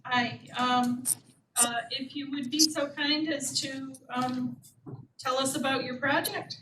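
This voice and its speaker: A woman's voice: high voice, fairly even intonation, takes it slowly, bright, forceful tone. A woman chairing a town commission meeting, polite and hesitant with frequent ums. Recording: echoey room, distant mic, noisy.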